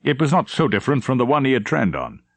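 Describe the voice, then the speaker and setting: A man's voice: mid-range voice, sing-song, fast talker, no echo. A man narrating a novel as a scripted, dramatic audiobook reading.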